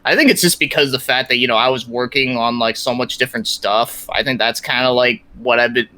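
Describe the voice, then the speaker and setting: A man's voice: mid-range voice, fairly even intonation, a little fast, sharp tone. A man chatting casually as a podcast guest, rambling and informal, with lots of filler words. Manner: rambling, informal, peppered with "like" and mild swearing. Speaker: podcast guest, YouTube content creator. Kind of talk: casual interview chat about his projects and gaming.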